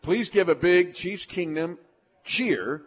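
A man's voice: sits high, lively intonation, slow pace, fairly bright tone, slight background noise. American man hosting live sports talk. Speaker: sports show host.

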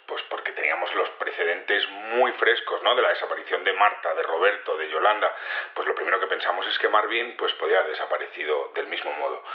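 An earnest, chatty male security chief with an accent from Spain. He explains things in a halting, colloquial way. He sounds muffled and roomy through a telephone filter, in a naturalistic audio drama interview. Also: deep voice. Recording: dull, echoey phone line.

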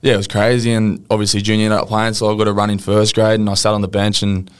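A man's voice: fairly low pitch, completely flat delivery, fast, clear, resonant tone. A man in his early twenties with an Australian accent, chatting casually and telling anecdotes as a podcast guest in a laid-back, slangy way. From Central Coast of New South Wales, Australia. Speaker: rugby league player, podcast guest.

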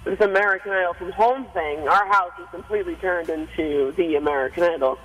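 A woman in her twenties from Staten Island with a deep, raspy voice, an excited singer chatting with radio hosts and laughing often. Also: fairly quick, rough recording. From Staten Island, New York. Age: 20s. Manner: giggly, excited, full of fillers. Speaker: singer and interview guest. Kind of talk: casual radio interview.

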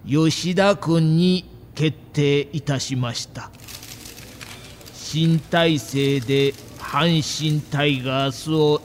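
Solemn executive, in a reverberant hall. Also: male voice, a little high. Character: solemn senior male baseball club executive making statements. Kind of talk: dramatized audio documentary.

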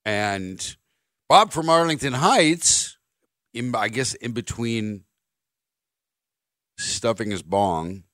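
A man's voice: mid-range voice, expressive, takes it slowly, long pauses, a bit bright, no echo. A middle-aged man hosting sports talk radio from a studio mic, blunt and sarcastic as he reads listener texts and gives his opinions. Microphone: studio microphone.

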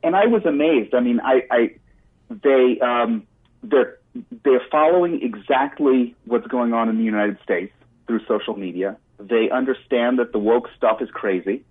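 A man chatting casually as an interview guest, halting and repeating words as he thinks. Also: mid-range voice, breathy edge. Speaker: interview guest, political commentator. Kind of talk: casual spontaneous interview chat. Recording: muffled, band-limited remote line.

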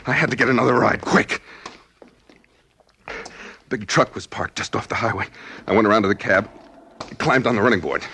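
An actor playing a brooding, desperate drifter on the run in a 1940s radio noir drama, by turns narrating and pleading. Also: male voice, mid-range voice, fairly bright tone. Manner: brooding, world-weary, pleading. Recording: noisy 1940s radio recording.

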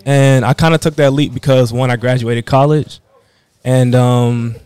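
A man's voice: medium pitch, steady tone, a little slow, a little mellow, clean tone, soft background music. A man in his twenties from the Washington DC area, a fashion designer, talking in an easygoing way peppered with "you know.". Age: 20s. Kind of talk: casual interview answers.

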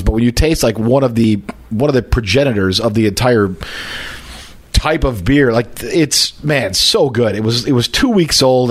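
Rambling, joking male podcast host. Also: medium pitch. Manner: rambling, joking, lots of fillers and restarts. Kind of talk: casual chat about beer.

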